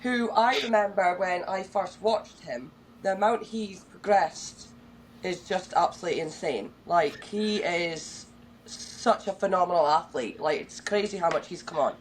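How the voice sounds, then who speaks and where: A woman's voice: lowish voice, a little slow, slight background noise, rough recording. A woman hosting a casual podcast, bubbly and enthusiastic, casually swearing as she chats. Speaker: podcast host.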